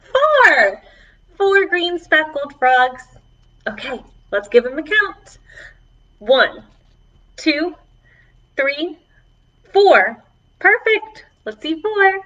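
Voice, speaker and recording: A woman children's librarian leading a preschool storytime online, encouraging and animated as she talks to young children. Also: high-pitched, drawn-out words, long pauses, recorded from a distance. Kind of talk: preschool singalong and chat to children. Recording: dull, lo-fi online video audio.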